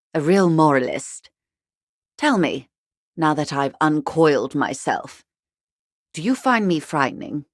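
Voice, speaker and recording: Game casting: worldly negotiator woman, low register, dry close recording, polished, coolly ironic, politely menacing. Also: no echo, full character voice. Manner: polished, measured, wryly courteous. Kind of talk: video game character dialogue.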